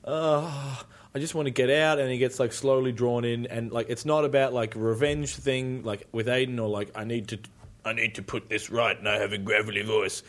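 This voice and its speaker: A man's voice: medium pitch, moderate pace. A man co-hosting a casual gaming podcast, recapping things animatedly and peppering his speech with "like". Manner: animated storyteller, lots of "like". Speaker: podcast co-host. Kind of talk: casual group chat about games.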